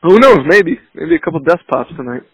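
Blunt, higher-voiced man bantering. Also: sing-song, brisk, slightly rough, no echo, low-quality recording. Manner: blunt, combative ribbing. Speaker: podcast guest, police officer. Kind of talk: profane bantering phone chat.

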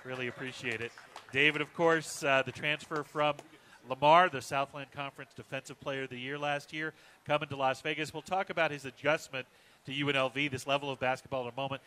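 A man's voice: mid-range voice, fairly even intonation, a little fast, sharp tone. A man hosting a live sports radio coaches show from a hotel casino restaurant, affable and chatty. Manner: affable, reads live ad copy.

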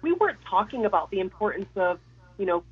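A woman guest with a head cold, her voice raspy and hoarse, chatting casually with lots of fillers. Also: mid-range voice, big pitch swings, fast, highs cut off. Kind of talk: casual radio chat.

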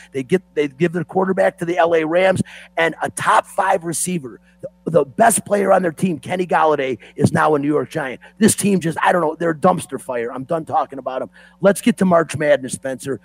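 Hoarse man, sports talk host, gravelly raspy voice, fairly high pitch, dry close sound. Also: no echo, low-quality recording. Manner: animated, ranting, fan-like. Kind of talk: opinionated sports radio talk.

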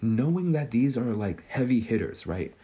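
A man's voice: mid-range voice, sing-song, normal speed, mellow. A man in his twenties hosting a podcast, musing casually and tagging almost every sentence with "right?". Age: 20s. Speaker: podcast host. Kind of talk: casual speculative monologue.

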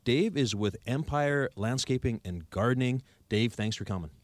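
A man's voice: medium pitch, moderate pace, dry recording. A man with a Canadian accent hosting a radio show in a conversational interview style. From Victoria, Canada.

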